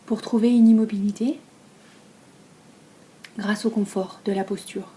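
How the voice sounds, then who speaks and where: Soft, mellow French woman guiding relaxation. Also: medium pitch. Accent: French from France. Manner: soft, breathy, calm and soothing delivery; unhurried, with long pauses between phrases. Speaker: yoga nidra teacher. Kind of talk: guided relaxation meditation.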